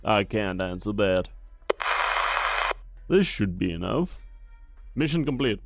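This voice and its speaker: A man's voice: lowish voice, expressive, slow pace, creaky, full character voice. A man voicing a cold security commander giving curt, officious orders. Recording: dry close mic, faint music.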